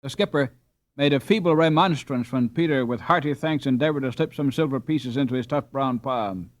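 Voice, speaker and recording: A man narrating a children's classic as an audiobook, with expressive scripted delivery. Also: medium pitch, brisk, dry recording.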